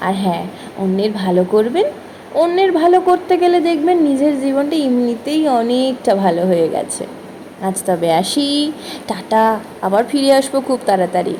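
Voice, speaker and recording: A woman's voice: on the high side, animated, moderate pace, mellow, slight background noise. A woman giving an informal reflective monologue, chatting expressively and addressing listeners directly with rhetorical questions. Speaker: spoken-word content creator.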